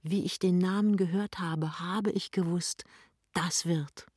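A narrator voicing a gentle, weary but hopeful young wife in an audiobook, soft and earnest. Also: mid-range voice, fairly quick, no echo, clean studio sound, lightly acted. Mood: whispered, hopeful. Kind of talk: audiobook character dialogue.

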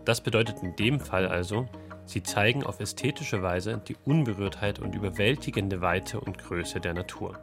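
A man's voice: on the low side, moderate pace, over music. A man reading a scripted, explanatory radio feature.

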